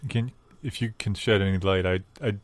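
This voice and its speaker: A man's voice: on the low side, fairly even intonation, moderate pace, no echo, lightly acted. A man playing an earnest, pedantic cheerful philosopher with a mild, hesitant manner in improvised audio comedy.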